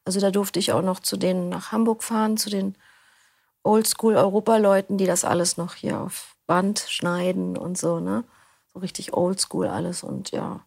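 Woman, gravelly voice, casual slangy chat, dry studio sound. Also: mid-range voice, steady tone, normal speed, darkish tone, no echo. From Berlin, Germany. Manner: chatty, loose, slangy. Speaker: interview guest, audiobook reader. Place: recording studio. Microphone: studio mic.

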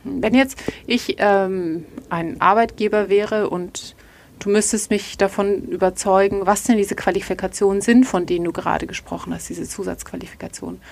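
A woman's voice: mid-range voice, fairly even intonation, normal speed, gravelly, slight background noise. Engaged woman interviewer. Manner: engaged, probing, conversational. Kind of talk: conversational interview.

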